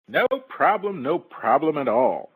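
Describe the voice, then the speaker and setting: A man playing a glib, sleazy shuttle pilot in a game-based audio drama, smooth-talking like a salesman. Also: on the high side, very animated, a little slow, phone-quality sound, heavily acted. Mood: falsely reassuring. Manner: smooth-talking, falsely reassuring salesman patter. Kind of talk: fan audio drama, game-story character acting.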